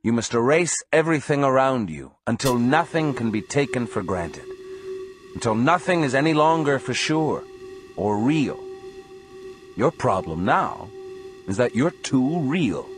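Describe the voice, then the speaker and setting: A man reading the part of a stern, blunt sorcerer teacher in a dramatized audio story, calm, direct and commanding. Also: medium pitch, measured pace, noisy background, lightly acted. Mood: solemn, instructive.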